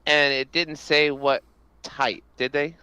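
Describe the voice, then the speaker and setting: Smooth-voiced man joking on a podcast. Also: a little high, fairly even intonation, slow pace, bright, forceful tone, dry recording. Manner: jokey, talks in bursts with restarts. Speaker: podcast host. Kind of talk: casual group chat.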